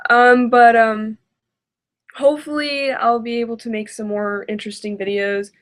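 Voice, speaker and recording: A woman's voice: on the high side, steady tone, moderate pace. A woman giving casual reaction commentary as a video host, hesitant and self-deprecating.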